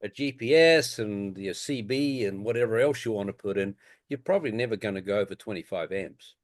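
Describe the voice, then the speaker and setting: A man with a fairly low voice gives technical advice. He is deliberate and matter-of-fact, and the recording is dry. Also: no echo. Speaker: technical adviser. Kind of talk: technical advice in group discussion. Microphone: conference call.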